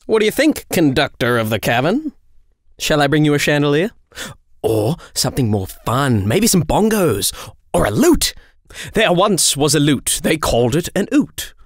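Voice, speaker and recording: A man's voice: fairly high pitch, very animated, moderate pace, no echo, studio quality. Man playing a swooping, mischievous young angel. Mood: playful, theatrical. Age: young adult. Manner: theatrical, playful, chatty. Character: mischievous, theatrical young male angel, jovial and flippant. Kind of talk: audio drama acting.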